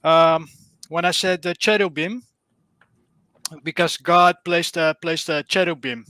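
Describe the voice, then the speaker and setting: Bright, earnest Dutch-accented man. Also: on the high side, fairly even intonation, measured pace, dry recording. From Netherlands. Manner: earnest, searching for words. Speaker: caller. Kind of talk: casual explanation on a live stream.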